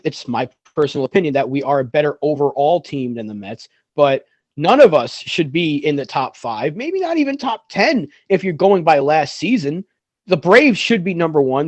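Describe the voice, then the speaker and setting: A man's voice: a little high, no echo. A man on a video call podcast who is losing his voice, stating firm opinions. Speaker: podcast co-host. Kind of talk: casual sports chat.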